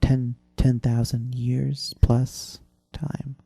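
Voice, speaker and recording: A man playing a salty old sea captain, telling a rambling, halting seafaring tale with dramatic pauses in an old-time radio adventure style. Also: mid-range voice, little pitch movement, vocal fry, warm tone, husky, no room sound, heavily acted. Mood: awed, dramatic. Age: older. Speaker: storyteller. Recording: voice over easy listening music. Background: easy listening soundtrack.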